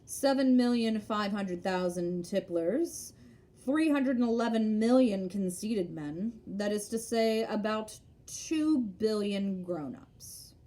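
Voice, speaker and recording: A woman storyteller reading a children's classic aloud in an expressive narrating style. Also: medium pitch, slow, poor audio.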